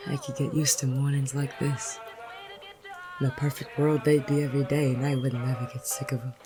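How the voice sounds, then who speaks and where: An actor playing a gruff, wry, smitten male private detective in a noir audio drama, with teasing, plain-spoken tough-guy phrasing. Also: medium pitch, flat delivery, a little fast, over music, close to an everyday voice. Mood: wistful, tender. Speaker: narrator and lead character. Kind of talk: noir audio drama, first-person narration and banter.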